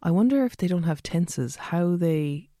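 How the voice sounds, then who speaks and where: A man's voice: on the high side, animated, unhurried, warm tone, no room sound, clean studio sound. A man conducting a casual interview, asking rambling, curious questions as he thinks aloud.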